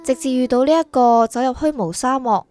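A woman's voice: sits high, a little fast, a little mellow, faint music behind, natural voice. A woman playing a dutiful young soul prosecutor in a radio drama, clipped, rule-bound and defensive in manner. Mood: troubled, reflective. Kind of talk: Cantonese radio drama acting.

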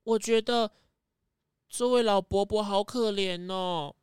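A woman voicing a kind young child who pleads gently in a children's audio drama. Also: medium pitch, slow, clear, resonant tone, no echo, studio quality, close to an everyday voice. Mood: gentle pleading. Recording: music behind the voice.

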